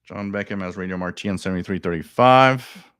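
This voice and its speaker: Male live-stream host, smooth and clear, dry close mic, rambling and musing, gets worked up over odd signals. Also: sits low, brisk, a bit bright, no room sound. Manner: musing, thinks aloud, repeats phrases. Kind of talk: casual live commentary.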